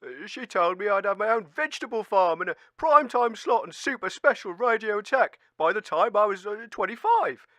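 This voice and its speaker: Casting: indignant, easily fooled man acted by a man, comic radio grumbler, swooping high voice, stumbling rant. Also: lively intonation, fairly bright tone, no room sound, studio quality. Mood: indignant, deluded. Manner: rambling, halting complaint. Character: gullible, indignant one-legged wasteland man.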